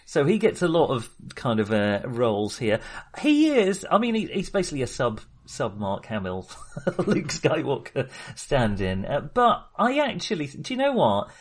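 Animated, slightly husky man chatting about films. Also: medium pitch, moderate pace. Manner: enthusiastic, digressive, jokey. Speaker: film podcast co-host. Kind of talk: casual film discussion chat.

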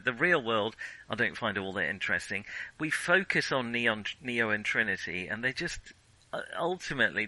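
Male podcast co-host, very bright, forward voice, dry close sound, hesitant and self-qualifying in casual film talk. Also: medium pitch, dry recording, rough recording.

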